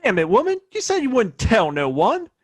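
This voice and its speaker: A blustering Wild West gunslinger with a badge and a short temper. His American voice sits high and swings widely as he throws folksy insults around in a radio-play western. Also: huge pitch swings, normal speed, full character voice. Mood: cocky, belligerent. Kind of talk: audio play acting, western.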